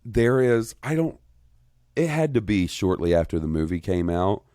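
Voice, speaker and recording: A man in his thirties co-hosting a podcast in casual chat, rambling and profane, getting excitable. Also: fairly low pitch, moderate pace, no room sound, clean studio sound. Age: 30s. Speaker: podcast co-host.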